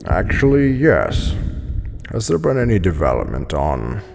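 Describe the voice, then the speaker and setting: Super deep slow crime boss, cold and resigned, big reverb and background noise. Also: male voice, wildly swooping pitch, exaggerated voice. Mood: brooding, cold. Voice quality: gravelly. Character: brooding, coldly menacing crime boss and weary father. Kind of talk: audio drama acting. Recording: noisy ambience, long hall reverb.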